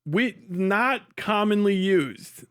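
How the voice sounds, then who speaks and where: High-pitched, blunt male streamer. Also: animated, slow pace, a bit bright, clean tone. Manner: profane, blunt, rambling. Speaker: streamer reacting to videos. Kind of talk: casual live-stream commentary.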